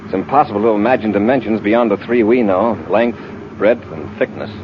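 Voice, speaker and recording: Space commander, male actor: fast, crisp, authoritative delivery, old radio serial style, noisy muffled recording. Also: fairly low pitch, close to an everyday voice. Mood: measured, thoughtful. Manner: crisp, authoritative, giving orders. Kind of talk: 1950s radio drama acting.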